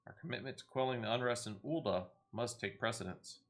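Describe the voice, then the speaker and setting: A man voicing a courteous leader of a fantasy order in a video game, speaking with measured, archaic formality. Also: mid-range voice, close to an everyday voice. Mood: cautious, diplomatic.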